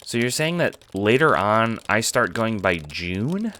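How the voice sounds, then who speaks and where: Man voicing young prankster kid, naive, excitable, incredulous, casual, very animated intonation, audio drama. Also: lowish voice, measured pace, background noise. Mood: curious, unsure.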